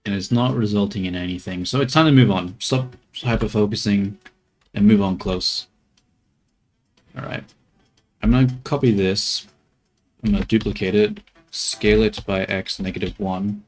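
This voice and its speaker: A man's voice: lowish voice, moderate pace, long pauses. A man streaming as he models a 3D character, talking to himself and his chat in a joking, muttering way. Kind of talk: casual live commentary while working.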